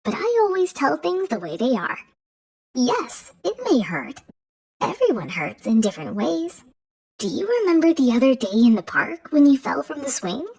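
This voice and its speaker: A woman's voice: high voice, big pitch swings, normal speed, creaky, a little mellow, slightly rough, heavily acted. A woman voicing a tiny, cheerful magical girl with a sweet, small voice who talks frankly and kindly. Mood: reassuring. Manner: chirpy and reassuring.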